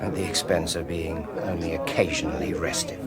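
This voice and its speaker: An actor playing a sardonic, bitter British research scientist of about forty in a radio drama, speaking in a clipped, brooding way. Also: male voice, very deep voice, fairly even intonation, brisk, a loud crowd. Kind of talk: 1980s BBC radio drama acting.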